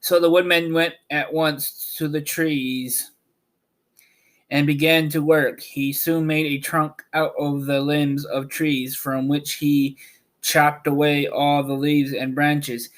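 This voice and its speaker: A man reading a children's story aloud as an amateur narrator, halting at times and correcting his words. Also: a little high, flat delivery.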